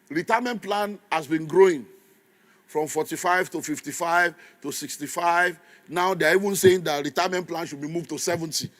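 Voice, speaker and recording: Nigerian male pastor, fifties, lively preaching with Pidgin asides, voice pitched a touch high. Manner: animated preaching, slips into Pidgin asides, laughs often. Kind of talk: sermon.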